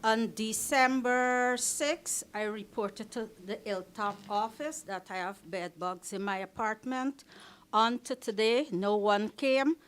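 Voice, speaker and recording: A woman's voice: a little high, fairly even intonation, slow pace, smooth. A woman resident making an earnest public comment at a housing board meeting, repeating her points as she pleads her case. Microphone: meeting microphone.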